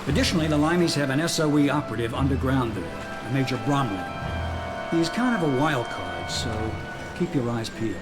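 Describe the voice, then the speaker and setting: An actor playing a stern American wartime intelligence officer, giving a clipped, serious briefing in a game cutscene. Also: male voice, mid-range voice, lively intonation, fairly quick, over loud music, lightly acted. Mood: stern, urgent. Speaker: commanding officer.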